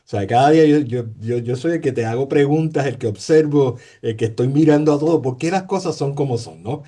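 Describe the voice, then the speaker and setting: Hesitant Puerto Rican man, mellow voice. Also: mid-range voice, fairly quick. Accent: Puerto Rican Spanish. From Puerto Rico. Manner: hesitant, many fillers and repeated words, tag questions. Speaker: sociologist guest. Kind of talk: conversational interview.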